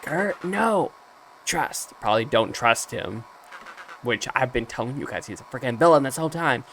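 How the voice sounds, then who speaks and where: A man's voice: mid-range voice, animated, normal speed, fairly bright tone, slight background noise, poor audio. A man voicing a frightened captive animal boy calling for help in a video game read-aloud. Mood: nervous, hushed.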